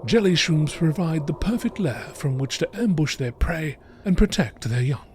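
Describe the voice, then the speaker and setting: A man giving a measured, informative scripted narration in the style of a nature documentary. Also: a little high, expressive, a little hiss or hum. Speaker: reader of video game text.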